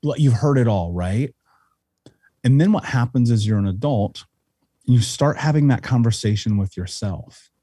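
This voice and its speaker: A blunt, intense man speaks as a podcast guest and swears freely. His voice is mellow and a little husky. It sounds dry and close on the microphone. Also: medium pitch, expressive, normal speed, no echo. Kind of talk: casual podcast conversation.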